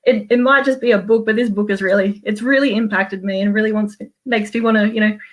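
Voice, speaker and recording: A woman with an Australian accent gives a casual book review to an online group. She sounds earnest and chatty, with an average-pitched voice. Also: fairly even intonation, fast talker. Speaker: meetup guest speaker, small business owner. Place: home office, on a video call.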